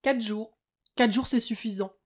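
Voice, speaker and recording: A woman's voice: a little high, unhurried. A woman playing a fussing, chatty retired mother in a French audio drama. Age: older.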